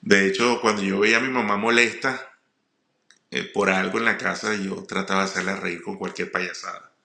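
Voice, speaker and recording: Low, gravelly Venezuelan man. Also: fairly even intonation, normal speed, sharp tone. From Puerto La Cruz, eastern Venezuela. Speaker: comedian, guest speaker. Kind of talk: personal storytelling monologue. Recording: roomy echo.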